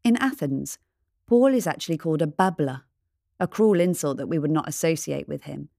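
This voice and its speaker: A woman reading a scripted devotional in a measured, reflective manner. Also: sits low, expressive, gravelly, dark tone, no room sound, studio quality. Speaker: devotional reader.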